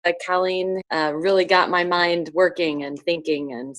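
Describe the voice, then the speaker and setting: A woman sharing with her team on a video call, speaking softly and haltingly with many fillers. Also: fairly low pitch, fairly even intonation, dry recording.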